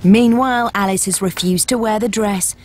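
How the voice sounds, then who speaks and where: A woman's voice: medium pitch, normal speed. A woman with a British accent reading playful scripted narration for a television show.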